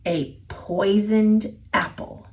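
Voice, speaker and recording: A woman reading a children's picture book aloud as a storyteller, expressive and deliberate, drawing out key words. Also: mid-range voice. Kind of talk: children's story read-aloud, scripted narration.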